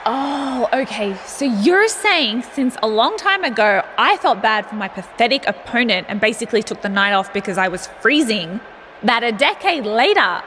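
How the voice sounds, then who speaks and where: A catty Australian wrestler in a video game, talking like a showy talk-show host. Her voice is high and piercingly bright, with sarcastic, theatrical emphasis and faint noise behind it. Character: smug, catty young woman wrestler hosting a talk show.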